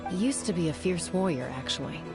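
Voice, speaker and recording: An actress as a young woman warrior in a video game, with a low, steady voice. She is frank and assured and explains things in measured, matter-of-fact phrases. Music plays clearly behind her. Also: natural voice. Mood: calm, explanatory.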